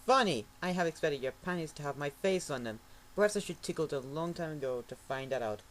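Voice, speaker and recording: A man reading a fan story aloud in a mocking amateur dramatic reading, with ironic asides and shouted outbursts. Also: a little high, lively intonation, quick pace, slight background noise. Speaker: reader.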